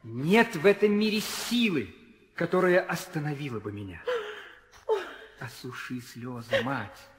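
An actor playing a dutiful, steadfast young prince in an old theatrical audio drama, speaking in solemn declamation. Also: male voice, mid-range voice, animated, measured pace, noisy background, natural voice. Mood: solemn resolve. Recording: old radio play, hall reverb.

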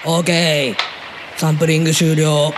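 A man's voice: fairly high pitch, little pitch movement, moderate pace, noisy background, natural voice. An actor in a radio drama playing an encouraging, friendly male simulator instructor. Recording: loud vehicle-like ambience.

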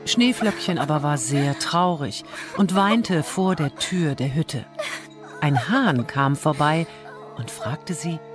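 Low-voiced woman telling a fairy tale. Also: animated, slow pace, music in the background. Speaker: storyteller. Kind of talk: scripted fairy-tale narration.

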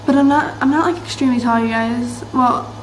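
A woman's voice: fairly high pitch, steady tone, brisk. Bubbly teen girl vlogging, roomy echo. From Massachusetts, US. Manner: bubbly, rambling, lots of fillers and likes. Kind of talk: casual chat to camera. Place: bright room indoors. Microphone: built-in camera mic, close webcam framing. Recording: roomy echo, faint background hiss.

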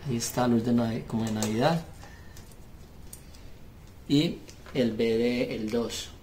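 A man with a mid-pitched voice talks through a practical demonstration. He goes step by step, pausing often as he works. The recording is made in a room, with clear noise and small clicks behind him. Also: fairly even intonation, darkish tone. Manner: halting, step-by-step phrasing with pauses. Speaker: seller demonstrating referee intercom gear. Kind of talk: hands-on tutorial, unscripted explanation. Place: small indoor room at a table.

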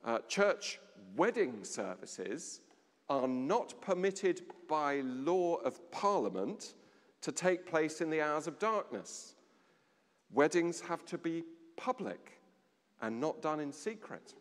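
A middle-aged man giving a sermon at a lectern in a large stone church, measured, with dramatic pauses and a voice that drops low. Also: very animated. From England. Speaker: vicar. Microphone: standing at a lectern, far camera. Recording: long church reverb.

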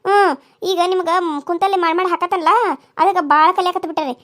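A woman voicing a sharp-tongued young daughter-in-law who scolds defiantly. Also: extremely high pitch, steady tone, quick pace. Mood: snappy.

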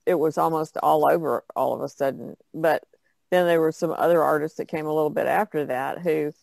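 Low-key gravelly woman chatting casually. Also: fairly even intonation, fairly quick, darkish tone, no echo. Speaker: artist guest. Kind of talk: casual chat.

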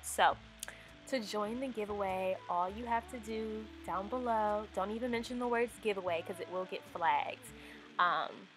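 A woman's voice: mid-range voice, noisy background. A young woman narrating her home decorating vlog in a casual, upbeat voice-over, full of ums and likes. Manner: chatty, upbeat, with fillers like um and like. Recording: faint music underneath.

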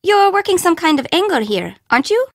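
High, lively, perky young bento shop woman. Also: a little fast, dry recording, clean studio sound, full character voice. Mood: teasing, brisk. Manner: bubbly, teasing, sarcastic, informal. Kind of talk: video game character voice acting.

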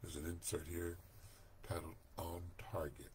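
An older man reading a Bible study lesson aloud from home, slow, deliberate and earnest, recorded on a webcam mic. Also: low voice, level tone, darkish tone, slight background noise. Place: home room, indoors. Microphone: webcam at desk.

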